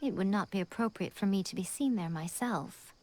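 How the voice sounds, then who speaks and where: A woman's voice: medium pitch, brisk, noisy background, natural voice. A woman playing a sly, elegant shrine maiden in a video game, poised and formal, teasingly condescending as she gives orders. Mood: teasing, instructing. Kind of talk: English video game voice acting.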